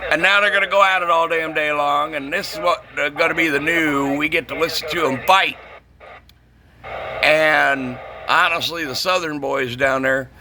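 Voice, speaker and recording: A man's voice: fairly high pitch, fairly even intonation, normal speed, a crowd in the background, poor audio. A middle-aged man, ranting casually and bluntly about his trade, swearing and repeating himself for emphasis. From northern United States. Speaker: CB radio shop vlogger. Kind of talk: casual opinionated rant.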